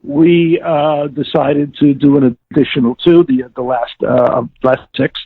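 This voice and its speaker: A gravelly-voiced man recollects casually, halting and repeating himself as he goes. The sound is dry and thin, like a phone line. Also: medium pitch, fairly even intonation, dry recording. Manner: hesitant, halting, stammering speech. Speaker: interview guest. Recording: dull, muffled lo-fi voice track.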